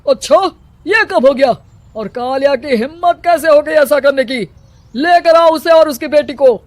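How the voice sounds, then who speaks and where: A performer voicing a stern, domineering male village headman in an animated moral story, commanding and scolding. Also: sits high, measured pace, no room sound. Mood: suspicious, commanding.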